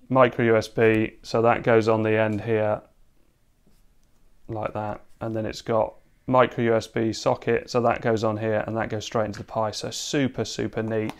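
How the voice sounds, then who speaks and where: A man with a fairly low voice talks through a tech demo at his dining table. His delivery is relaxed and unscripted, full of "uh" and small restarts as he clicks along. The sound comes from a camera mic a little way off. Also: moderate pace. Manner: relaxed, thinking aloud with frequent fillers. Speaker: tech video host. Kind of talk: casual hands-on demo narration. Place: home room, at a dining table.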